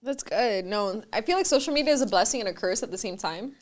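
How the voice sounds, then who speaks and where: Young woman from Miami, podcast host, animated and chatty, switches between English and Spanish; fairly high voice. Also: fast talker. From Miami, United States. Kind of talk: casual interview chat.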